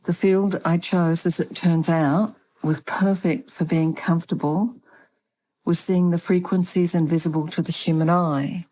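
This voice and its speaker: Mellow Australian woman, measured. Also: lowish voice, steady tone, rough recording. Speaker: guest author. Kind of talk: prepared personal account.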